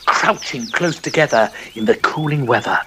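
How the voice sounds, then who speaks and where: A man narrating a dramatic poem in a theatrical, measured and expressive way. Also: mid-range voice, a little hiss or hum.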